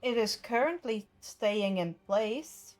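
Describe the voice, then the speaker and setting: A woman's voice: on the high side, animated, slow pace, a little mellow, soft background music. A woman running a tabletop roleplaying game as game master, firm and matter-of-fact.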